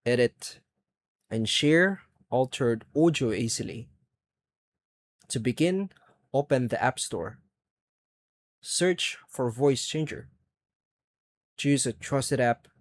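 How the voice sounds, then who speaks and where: A man narrates a scripted how-to guide at a slow pace. His voice sits at an average pitch for a man. He delivers each instruction in short, measured phrases, as a tutorial host does.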